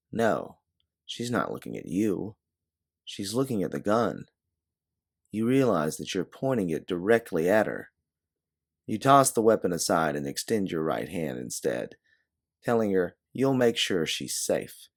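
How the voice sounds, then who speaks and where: Deep creaky man narrating a suspense story. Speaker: narrator of an original audio story. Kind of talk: scripted second-person dramatic narration.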